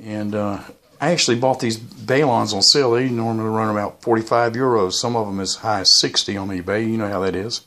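A man's voice: fairly low pitch, fairly even intonation, fairly quick, sharp tone. A man talking casually and unscripted as he shows off gear in a vlog, in a rambling, hesitant way. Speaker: YouTuber presenting his radio antennas. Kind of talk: casual unscripted product show-and-tell.

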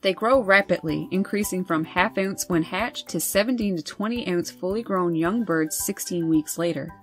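A woman host reading scripted nature narration over wildlife footage in a measured, informative way. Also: lowish voice, soft background music. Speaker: birding channel host.

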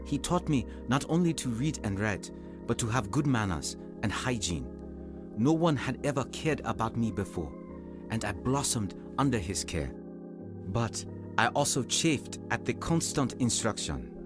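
An actor playing an earnest young southern African convert turned preacher in a radio drama. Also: male voice, mid-range voice, sing-song, measured pace, over music. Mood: earnest, reflective.

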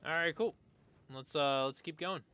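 A man gives casual game stream commentary in a bright, forceful voice. His tone is clean and resonant, and he rambles in a laid-back way, stretching words for emphasis. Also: fairly high pitch, normal speed, smooth.

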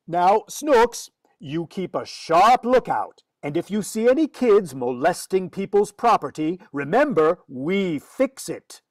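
A man's voice: high-pitched, very animated, takes it slowly, few pauses, no room sound, full character voice. A man playing a flustered, exasperated father with a fussy manner in an old-time radio comedy. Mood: patient, coaxing. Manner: fussy, stammering when flustered.

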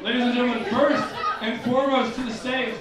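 A man's voice: extremely high pitch, fairly even intonation, fairly quick, far from the mic, a loud crowd. Hyped man emceeing a slam. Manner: hyped, shouting introductions. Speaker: slam host. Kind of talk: event announcing. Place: bar stage venue, indoors. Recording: echoey, noisy room from afar.

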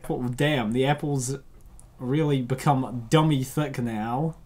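A man's voice: medium pitch, fairly even intonation, slow, darkish tone. A man hosting a casual comic dub, rambling and joking in slangy commentary.

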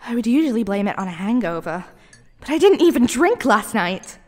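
A woman's voice: a little high, big pitch swings, brisk, a little mellow, dry recording. A woman playing a clumsy, flustered young barista who stammers and apologizes in an audio roleplay. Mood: frustrated muttering. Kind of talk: ASMR roleplay acting.

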